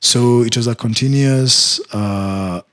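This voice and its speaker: A man's voice: medium pitch, slow pace, fairly bright tone. A middle-aged man from Kenya whose first language is Luo tells long stories from his childhood in an interview, with many restarts and fillers. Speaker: guest. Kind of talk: storytelling interview answers.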